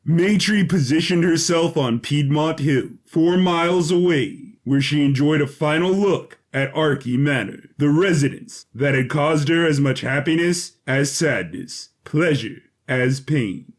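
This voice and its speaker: A male storyteller with a slightly high voice narrates an adventure story. His delivery is measured and dramatic, suited to scripted science fiction.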